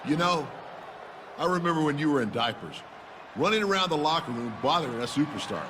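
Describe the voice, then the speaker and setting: An actor playing a condescending veteran wrestler. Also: male voice, on the high side, expressive, background noise, lightly acted. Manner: condescending, slow and deliberate. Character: veteran male wrestling legend, condescending and knowing.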